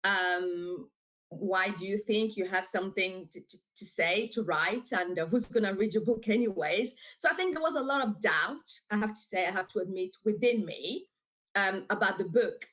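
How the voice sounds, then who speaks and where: This is a woman from DR Congo, with French as her first language, talking as a guest on a podcast over a video call. Her voice sits at a middle pitch. She talks in an animated, talkative way, with frequent fillers and laughs. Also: normal speed, rough recording. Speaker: author and guest. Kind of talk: casual interview.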